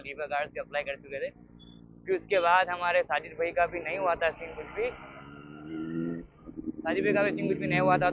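High-pitched Indian man rambling over road noise. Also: creaky. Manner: rambling, repetitive, switching between Hindi and English. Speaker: motorcycle vlogger. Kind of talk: casual unscripted chat while riding. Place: outdoors on a motorcycle on the road. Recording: muffled, noisy motorbike ride with engine and traffic sounds. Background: vehicle and road noise.

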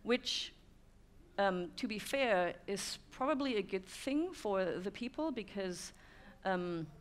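A woman's voice: mid-range voice, expressive, slow pace. A German woman in her thirties giving a conference talk to an audience, thoughtful, with many "um" fillers. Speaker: speaker. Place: dim stone-walled room with audience.

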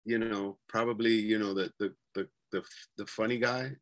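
A man in his forties with a New York accent and a fairly low voice talks over a webcam from a bedroom at home. He rambles through his memories, with plenty of fillers and restarts. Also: fairly even intonation, moderate pace. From New York City. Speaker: guest. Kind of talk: casual interview chat. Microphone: webcam on a video call.

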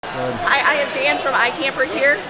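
A woman hosting quick booth interviews at a gear expo, asking short friendly questions. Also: high-pitched, fairly even intonation, a loud crowd. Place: large indoor expo hall. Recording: noisy crowded expo hall.

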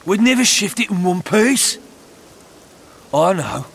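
An actor playing a greedy, crude bandit in a video game, with a coarse, drawling manner. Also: male voice, sits high, sing-song, slow pace, creaky, a bit bright, a little hiss or hum, full character voice. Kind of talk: video game dramatic dialogue.

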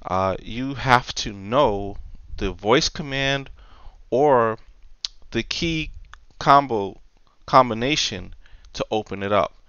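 A man presenting a software tutorial unscripted, explaining step by step in a halting way with pauses and repeats. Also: mid-range voice, clean tone, no room sound, highs cut off. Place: indoors, dry voice-over for screen recording.

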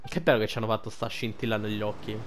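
A man's voice: fairly low pitch, fairly even intonation, quick pace, crowd noise. A man giving casual, blunt, slangy commentary as he plays a video game.

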